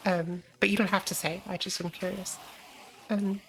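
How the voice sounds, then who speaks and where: An American woman chatting casually on a gaming livestream, answering chat with frequent pauses and ums. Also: medium pitch, flat delivery, a little hiss or hum. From United States. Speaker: streamer.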